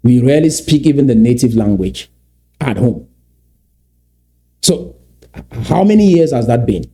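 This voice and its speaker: Middle-aged man, Cameroonian English accent, warm low timbre, lecturing commentator who poses rhetorical questions. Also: animated, a little slow. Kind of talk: commentary on played clips.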